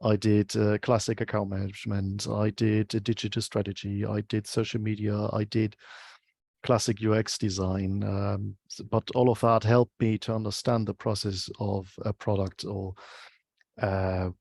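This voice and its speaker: A man with a German accent, a guest in an interview on a video call, speaking English as a second language and often pausing to search for words. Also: lowish voice, flat delivery, gravelly, a little mellow, dry recording. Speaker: guest, UX researcher. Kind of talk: casual interview chat.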